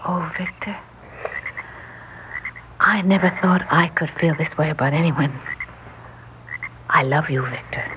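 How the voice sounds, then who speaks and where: A woman's voice: deep voice, unhurried, pauses often, noisy background. An actress in a 1960s radio drama playing an adoring, anxious female accomplice, with a breathless romantic manner. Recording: dull old radio recording.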